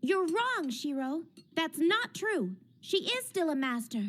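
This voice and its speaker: A woman voicing a sharp, bossy teenage girl mage who lectures firmly, in a fan manga dub. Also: extremely high pitch, sing-song, slow pace, heavily acted. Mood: lecturing. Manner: firm, lecturing.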